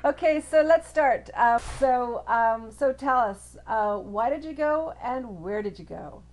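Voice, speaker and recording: A woman's voice: a little high, lively intonation, measured pace. Upbeat woman hosting an interview. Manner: friendly, upbeat, laughs often. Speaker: interview host. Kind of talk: casual interview over a video call. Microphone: video call.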